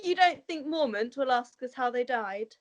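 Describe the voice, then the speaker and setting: A woman's voice: high voice, lively intonation, moderate pace, dry recording. A woman playing a haughty young nobleman ranger in an amateur fantasy table read. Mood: challenging.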